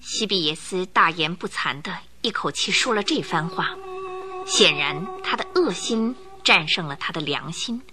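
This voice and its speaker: A woman's voice: lowish voice, animated, normal speed, music in the background. A woman narrating a radio drama in a vivid, dramatic storytelling manner.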